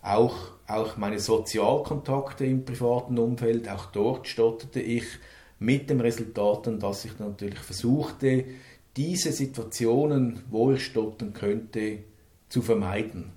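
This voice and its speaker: A man's voice: medium pitch, takes it slowly, mellow, roomy sound. A man, an interview guest speaking earnestly about his life, stuttering and repeating words. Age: 50s. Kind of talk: personal interview.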